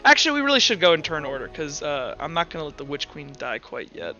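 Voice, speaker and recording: Bright-voiced, blunt male game master. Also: on the high side, wildly swooping pitch, fast, gravelly, dull audio. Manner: blunt, swears casually. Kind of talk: casual tabletop roleplay session talk. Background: faint music.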